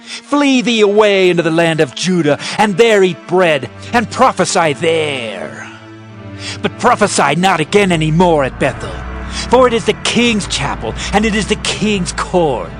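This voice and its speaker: A man's voice: high voice, sing-song, measured pace, soft background music. An actor playing a hostile, indignant male priest in a scripture drama, declamatory, accusing and haughty. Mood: scornful.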